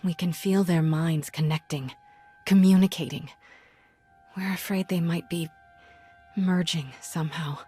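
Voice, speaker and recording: A plucky young heroine from a sci-fi game, speaking in a fairly low voice. She is frank and conversational, with music playing underneath. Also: fairly even intonation, measured pace, long pauses, warm tone, husky. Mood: concerned, earnest. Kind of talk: game cutscene acting.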